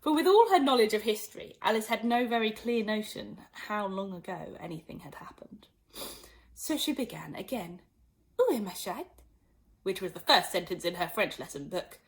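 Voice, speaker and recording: A woman reading a children's story aloud as an expressive audiobook narrator. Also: mid-range voice, normal speed.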